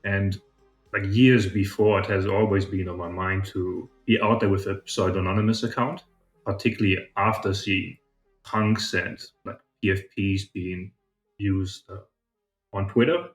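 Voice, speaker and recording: A man's voice: low-pitched, fairly even intonation, unhurried, echoey room, soft background music. A man from southern Germany, giving casual, reflective answers as a podcast guest. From southern Germany, partly grew up in China. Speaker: podcast guest, startup founder.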